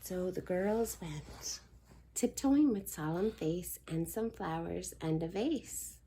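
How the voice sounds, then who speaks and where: A woman in her thirties reading a bedtime picture book aloud to toddlers at home, gentle and sing-song, sometimes dropping to a whisper. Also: lowish voice, animated, slow pace, slight background noise. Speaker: children's video host reading bedtime stories.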